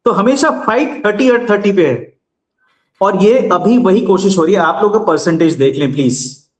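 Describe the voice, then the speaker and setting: A man, a guest commentator, explaining politics and history in a measured, lecturing way with pauses. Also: high voice, lively intonation, echoey room.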